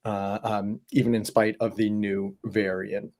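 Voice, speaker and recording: A man hosting a casual livestream Q&A, a bit hoarse, talking in a chatty, rambling way with many fillers. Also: on the low side, little pitch movement, measured pace, a little mellow. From Southwest Ohio. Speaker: host.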